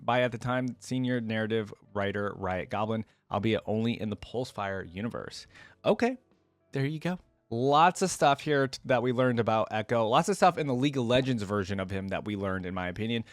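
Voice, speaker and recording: A man's voice: mid-range voice, a little fast, dry recording. A man hosting a reaction channel, reading aloud and adding casual, conversational commentary. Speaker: host of a game lore reaction channel.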